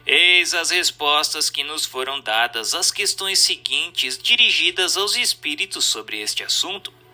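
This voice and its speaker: A man's voice: a little high, expressive, unhurried, sharp tone. A man reading a scripted narration in a formal, didactic way with careful enunciation. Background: music.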